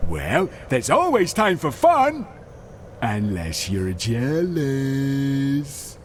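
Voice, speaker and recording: A man's voice: medium pitch, wildly swooping pitch, extremely slow, slight background noise, full character voice. A man voicing a playful, naive, dim-witted little cartoon creature in a video game, with a guileless, childlike manner. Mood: carefree.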